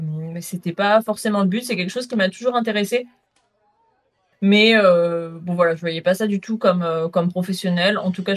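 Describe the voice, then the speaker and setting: Chatty young woman from southern France. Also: medium pitch. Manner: chatty with frequent fillers. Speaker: guest photographer. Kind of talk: casual interview talk.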